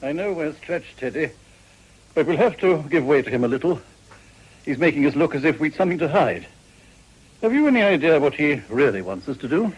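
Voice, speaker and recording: An actor playing a weary older government minister in a period radio drama, brisk and understated. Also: male voice, fairly high pitch, sing-song, breathy edge, rough recording. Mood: weary, businesslike.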